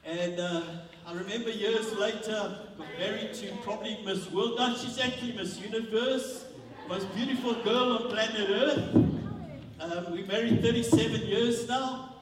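A man's voice: high voice, moderate pace, a crowd in the background. A man in his fifties with a South African accent, preaching and telling his testimony in a church, with frequent restarts. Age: 50s. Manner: repetitive restarts, grows more fervent. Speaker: visiting preacher. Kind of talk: sermon with testimony. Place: church hall. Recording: echoey hall, voice from far off.

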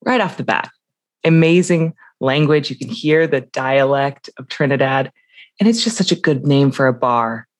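A woman's voice: very deep voice, normal speed, dry recording. Enthusiastic woman talking about books. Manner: enthusiastic, reads quotes aloud. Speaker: podcast co-host. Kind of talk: casual literary discussion.

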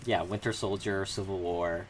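A man with a fairly low voice speaks as a podcast co-host in casual film chat. He is low-key and halting, offering short remarks, with faint noise behind him. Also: steady tone. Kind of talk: casual chat about films.

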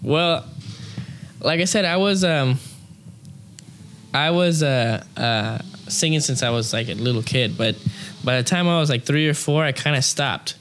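A man's voice: a little high, unhurried, a bit bright, a little hiss or hum. A young man who sings opera, talking casually in an interview, rambling with many fillers. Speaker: opera singer, interview guest.

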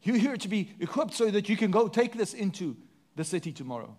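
South african pastor guy preaching, fairly high voice, big swoops up and down, lots of questions to the crowd. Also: big pitch swings, a little fast, a little mellow. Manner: preaching with sweeping rises and drops, rhetorical questions. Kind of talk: sermon.

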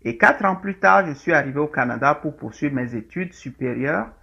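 A middle-aged man gives a presentation, pausing often on fillers and restarting his phrases. His voice sits a little high and has a gravelly, creaky texture. The recording sounds dull and lo-fi. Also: animated, bright, forceful tone. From Togo. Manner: hesitant, many fillers and restarts. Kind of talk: presentation talk.

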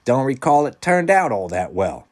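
A man's voice: medium pitch, very animated, voice pushed up, lightly acted. A man voicing a young orphan boy, sarcastic and theatrical, who drags out his words like a complainer.

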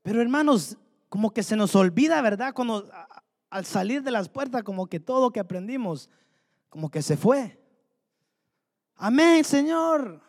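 A man's voice: very high-pitched, big pitch swings, moderate pace. Guy preaching a sermon, fired up, lots of questions to the church, echoey hall. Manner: fervent, rhetorical questions to the congregation. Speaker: pastor. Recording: long hall reverb.

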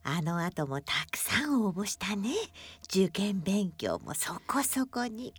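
A woman voicing a gentle old writing desk that watches fondly over its owner, murmuring tenderly in a radio drama. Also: mid-range voice, big pitch swings, takes it slowly, husky, voice pushed down, exaggerated voice. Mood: tender, nostalgic.

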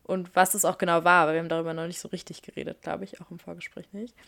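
A woman hosts a podcast and asks her guest questions in a loose, relaxed way. Her voice is low and gravelly, with some vocal fry. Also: very fast. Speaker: podcast host. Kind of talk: casual interviewing.

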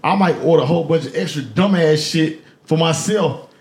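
A man co-hosting a podcast whose voice is going hoarse, arguing in a blunt, profane, casual way. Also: fairly high pitch, a little fast, noisy background. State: losing his voice, hoarse. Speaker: podcast co-host. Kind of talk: casual argumentative banter. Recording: roomy, echoing sound.

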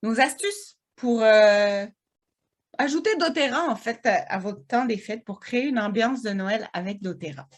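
A chatty woman with a Québécois accent, co-hosting a relaxed podcast. Her voice sits a bit high, and she giggles often and fills her talk with little fillers. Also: moderate pace. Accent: Québécois French. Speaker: podcast co-host. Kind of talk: casual chat.

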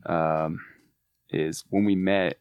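Very deep-voiced Californian man. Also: fairly even intonation, takes it slowly, smooth, no room sound, highs cut off. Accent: Californian surfer accent. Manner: earnest, enthusiastic, with frequent restarts. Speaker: artist manager. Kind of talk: casual podcast chat.

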